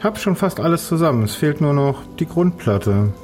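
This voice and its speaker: Pirate crewmate, male, played by a man, animated intonation, friendly and diligent, adventure radio play over music. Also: a little high, normal speed, close to an everyday voice. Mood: friendly, pleased. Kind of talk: improvised audio adventure acting.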